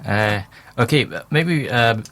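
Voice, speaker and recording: A man's voice: medium pitch, expressive, slow pace, fairly bright tone, no echo. A man whose first language is Dutch, hosting a radio interview and thinking aloud hesitantly as he asks his questions. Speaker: radio host.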